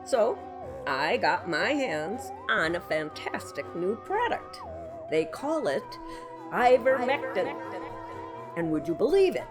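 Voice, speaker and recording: A woman playing a kooky, folksy, alien-obsessed shopkeeper who pitches theatrically in a parody local radio commercial. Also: huge pitch swings, slow. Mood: folksy, proud. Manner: theatrical, folksy, dramatic salesmanship. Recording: music bed under the ad.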